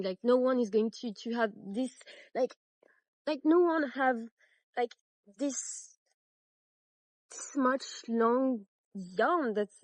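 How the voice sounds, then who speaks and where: A woman's voice: fairly high pitch, a little mellow, no room sound. A young woman with a French accent, a native French speaker, hosting a casual crochet tutorial with many fillers and restarts. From France. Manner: hesitant, many fillers and restarts, laughs at herself.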